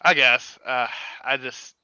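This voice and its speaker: A man chatting casually and somewhat haltingly, recorded dry on a slightly dull mic. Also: medium pitch, little pitch movement, bright, forceful tone, smooth, dry recording. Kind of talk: casual chat about games.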